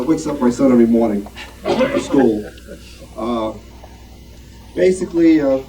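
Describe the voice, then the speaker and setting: A middle-aged man giving an informal show-and-tell talk, in a halting way that repeats words. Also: mid-range voice, warm tone. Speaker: club member presenting his collection. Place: meeting room with audience, recorded from a distance. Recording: distant mic in busy club meeting.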